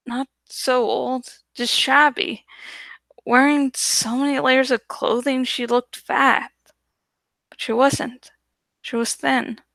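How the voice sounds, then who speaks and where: A woman reading a novel aloud as dramatic first-person narration, with deliberate, suspenseful phrasing. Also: fairly high pitch, vocal fry, pushed and bright, no room sound. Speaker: audiobook reader.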